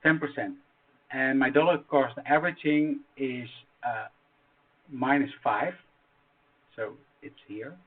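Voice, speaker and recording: A man with a Dutch accent walks through a chart tutorial at an unhurried, slow pace. He halts often and restarts his sentences with plenty of fillers. The audio sounds narrow and tinny, like a phone line. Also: medium pitch. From Netherlands. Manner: halting, many fillers and restarts. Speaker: trading strategy explainer. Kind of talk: screen-share tutorial.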